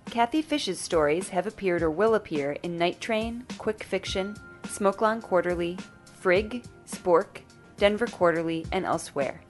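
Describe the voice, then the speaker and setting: A woman's voice: lowish voice, unhurried. A woman giving scripted announcements as a podcast presenter. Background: music.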